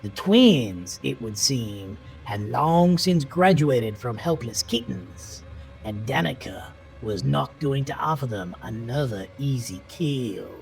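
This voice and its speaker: A man narrating a scripted horror story in a dramatic, deliberate, suspenseful manner. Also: medium pitch, expressive, vocal fry, poor audio. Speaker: audiobook-style narrator. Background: music under the voice.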